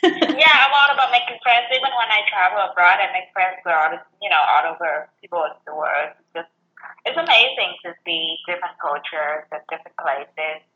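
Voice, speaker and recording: Woman, about thirty, from Vietnam, bright low-pitched voice, casual chat, echoey lo-fi audio. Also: fairly quick. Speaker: guest.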